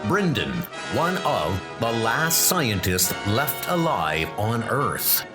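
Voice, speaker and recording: A man giving a dramatic, deliberate, portentous narration of a pulp adventure story. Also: mid-range voice, very animated, over loud music. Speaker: narrator reading a comic book aloud.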